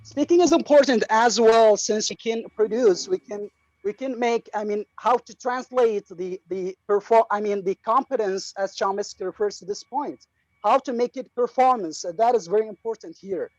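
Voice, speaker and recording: A man's voice: high-pitched, a little mellow, no room sound. Iraqi guy on a video call, lots of ums and restarts, muffled lo-fi audio. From Iraq. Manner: halting, many fillers and restarts. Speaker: online class participant. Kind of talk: casual speech to a class.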